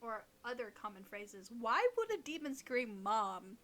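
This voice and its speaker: A young woman with a fairly high, bright voice that moves around a lot. She reads creepy stories aloud in a casual, giggly, teasing style, as if chatting with a friend. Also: expressive, measured pace. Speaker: co-host reading listener stories.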